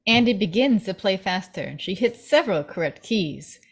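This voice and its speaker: A woman's voice: mid-range voice, expressive, moderate pace, slightly rough. Female narrator for a table read: mid-range voice, reading scene directions.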